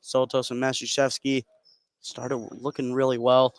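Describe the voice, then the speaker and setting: A man's voice: medium pitch, monotone, unhurried, smooth. A man working as color commentator, giving conversational analysis during a live basketball game. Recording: noisy live gym broadcast.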